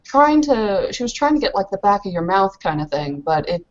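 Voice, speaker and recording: A woman's voice: medium pitch, very animated, brisk, dull audio. A woman playing a tabletop roleplaying game, chatting informally as she explains her character's moves. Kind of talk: casual game chat.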